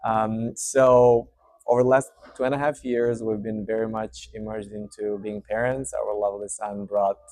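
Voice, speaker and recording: A man in his thirties from Serbia with a Serbian accent, hosting his channel and talking straight to camera in a relaxed, unscripted way with many fillers. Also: on the low side, moderate pace, no room sound. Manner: warm, hesitant, many fillers. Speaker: channel host. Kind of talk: casual unscripted update to camera. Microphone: speaking to camera.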